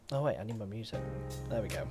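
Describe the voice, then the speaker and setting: Casting: man, relaxed live gaming streamer, slow-paced and a bit stuffed up, self-deprecating, music playing underneath. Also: fairly low pitch. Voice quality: stuffy, congested. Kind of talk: casual game stream commentary.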